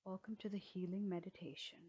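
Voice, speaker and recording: Soothing, mellow-voiced woman guiding meditation. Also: mid-range voice, steady tone, breathy edge, lo-fi sound. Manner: slow, soothing, gentle pauses. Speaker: yoga teacher. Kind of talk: guided meditation.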